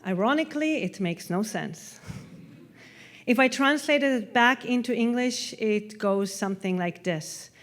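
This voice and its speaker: A woman from Israel whose first language is Hebrew, giving a prepared festival talk in a reflective, measured way, letting sentence endings trail off softly. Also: fairly high pitch, animated. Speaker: festival speaker. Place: festival stage.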